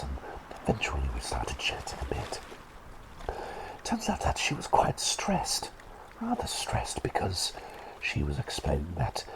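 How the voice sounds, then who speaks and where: A man voicing a kindly, silly talking bird doctor in a whispered ASMR roleplay, speaking hesitantly and politely and softly repeating his phrases. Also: full character voice, rough recording. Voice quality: breathy whisper with creaky low voiced moments.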